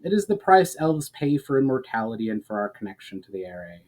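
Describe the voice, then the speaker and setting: Man playing an ancient elf man, grave, knowing, formal, measured, fantasy storybook. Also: medium pitch, sing-song, darkish tone. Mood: solemn. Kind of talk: dramatic fantasy storybook reading.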